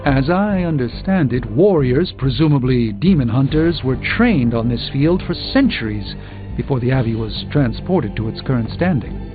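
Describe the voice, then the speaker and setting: An actor playing a learned, composed sorcerer in a video game, speaking formally and articulately with dry wit. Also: male voice, medium pitch, expressive, normal speed, narrow, tinny audio, lightly acted. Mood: dryly wry. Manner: articulate, formal, dryly wry. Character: learned, composed, dryly witty adult male sorcerer. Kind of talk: video game character dialogue. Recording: thin band-limited audio under loud music.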